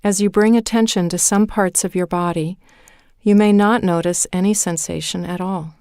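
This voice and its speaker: A woman leading a guided meditation in a calm, measured way, with deliberate pauses between phrases. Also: on the low side, smooth, no room sound, studio quality. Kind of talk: guided meditation, scripted narration.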